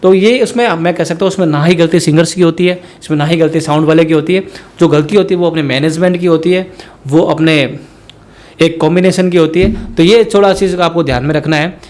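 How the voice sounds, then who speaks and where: A man's voice: fairly high pitch, a little fast, darkish tone. A man from India speaking, explaining sound equipment in a rambling tutorial that addresses viewers directly as friends. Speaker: musician teaching sound setup. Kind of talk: spoken tutorial.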